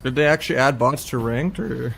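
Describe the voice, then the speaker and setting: A man's voice: mid-range voice, fairly quick, vocal fry, fairly bright tone, a little hiss or hum. A man giving casual, sardonic commentary on his own ranked match, muttering and swearing freely. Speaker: game streamer.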